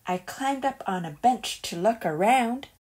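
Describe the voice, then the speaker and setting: A woman reading a children's picture book aloud as a storyteller, in an expressive and deliberate way. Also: a little high, husky, faint music behind.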